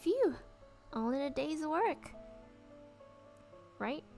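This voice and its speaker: A chirpy, teasing young woman of a dream cafe acted out by a woman player, pitched fairly high. She is playful but takes her time over the words, with clear music underneath. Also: very animated, very slow, darkish tone, full character voice.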